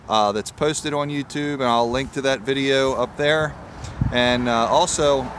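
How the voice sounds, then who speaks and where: Man vlogging casually over clear background noise. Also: medium pitch. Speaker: YouTube channel host. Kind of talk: casual motorcycle how-to video talk.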